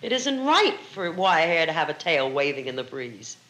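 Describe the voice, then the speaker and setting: A woman with a fairly low voice argues insistently, her pitch swinging widely. The 1950s location recording is roomy and echoing. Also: sing-song, normal speed, a bit bright. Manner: emphatic, insistent. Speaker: interviewee.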